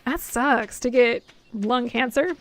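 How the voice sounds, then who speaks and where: A young adult woman streaming a game, chatting casually with lots of fillers, her voice getting hoarse after hours of talking. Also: fairly high pitch, takes it slowly. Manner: chatty, rambling, many fillers and laughs. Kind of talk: casual chat while gaming.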